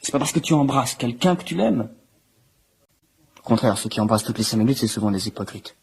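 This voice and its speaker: Very husky, plain-spoken teenage boy. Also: medium pitch, animated, a little fast, exaggerated voice. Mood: defensive. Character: teenage child, dog-loving, defensive, plain-spoken. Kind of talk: naturalistic French radio drama acting.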